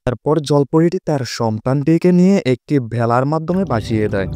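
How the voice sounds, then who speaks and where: A man's voice: medium pitch, expressive, brisk. A man giving a scripted storyteller's narration for a fairy-tale cartoon. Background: prominent music.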